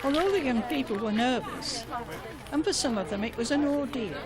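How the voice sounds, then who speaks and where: A woman's voice: high voice, moderate pace, over a noisy crowd. A woman reading scripted narration as the narrator of a local newsreel.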